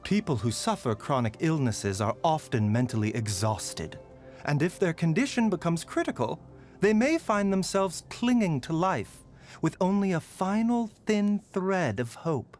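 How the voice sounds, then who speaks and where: A scholarly physician in a video game, courteous and gently sly. His voice sits a little high and moves with lively intonation. The phrasing is polite, measured and formal, over soft background music. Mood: thoughtful, earnest. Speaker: game character voice actor.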